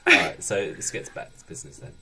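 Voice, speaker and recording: A man's voice: fast, sharp tone. A man hosting a casual, improvised podcast chat over a video call, a little hesitant and given to repeating himself. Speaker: podcast host.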